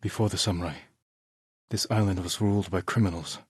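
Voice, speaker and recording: An actor playing a grave, honor-bound young samurai lord in a video game, speaking in a measured, restrained way with short, solemn sentences. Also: male voice, sits low, fairly even intonation, slightly rough, no room sound, clean studio sound.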